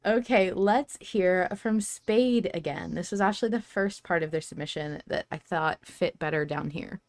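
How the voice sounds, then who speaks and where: A woman's voice: lowish voice, normal speed, a little mellow, dry recording. A woman hosting a casual fan podcast, talking in an effusive, gushing way. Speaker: podcast host.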